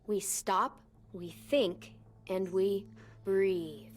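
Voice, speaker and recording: A woman playing a protective, patient teenage girl looking after a child, in naturalistic game acting with a steady delivery. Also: mid-range voice, very slow, a little hiss or hum. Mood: soothing. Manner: gentle, coaxing, steady. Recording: music clearly behind the voice.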